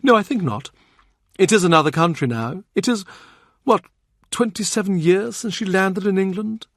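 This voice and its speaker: A man's voice: sits high, animated, normal speed, no room sound, studio quality. A man voicing an urbane, shrewd older cardinal who reminisces in a genial, confiding way. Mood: reminiscing. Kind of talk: audiobook character reading.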